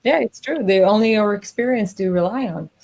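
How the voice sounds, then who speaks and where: A woman from Israel hosting an interview podcast, chatty and animated, laughing easily. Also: mid-range voice, fairly quick, vocal fry. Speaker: podcast host. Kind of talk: casual interview chat.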